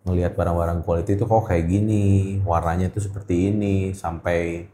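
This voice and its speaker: Mellow low-voiced Jakarta man, echoey room. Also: flat delivery, fairly quick, slight background noise. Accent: Jakarta Indonesian. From Indonesia. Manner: colloquial, repeats words for emphasis. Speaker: guest, gemstone trader. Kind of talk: casual interview chat.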